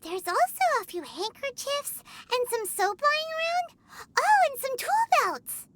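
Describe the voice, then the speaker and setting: A woman voicing a tiny, curious, childlike companion creature in a video game, squeaky and inquisitive. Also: big pitch swings, measured pace, fairly bright tone, soft background music, heavily acted. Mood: pondering. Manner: inquisitive, exclaiming, thinking aloud. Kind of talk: video game character dialogue.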